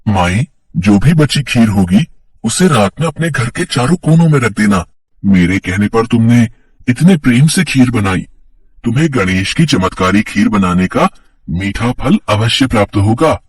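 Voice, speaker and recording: A man playing a gentle, benevolent child-form god in a cartoon story, speaking earnestly and coaxingly. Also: on the low side, expressive, a little slow, husky, no room sound, distant mic. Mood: satisfied, benevolent.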